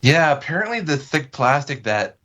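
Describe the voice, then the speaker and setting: A man's voice: on the high side, very animated, normal speed, fairly bright tone, highs cut off. A man speaking as an interview guest, informal and hesitant as he feels for his words. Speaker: podcast guest. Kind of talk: casual interview chat.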